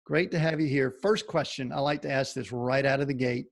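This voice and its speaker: A man hosts a podcast in a gravelly, creaky voice, chatty and rambling as he sets up his questions. His delivery is folksy and informal. The recording is dry and close. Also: mid-range voice, fairly even intonation, a little fast, no room sound. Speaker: podcast host. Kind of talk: conversational interview hosting.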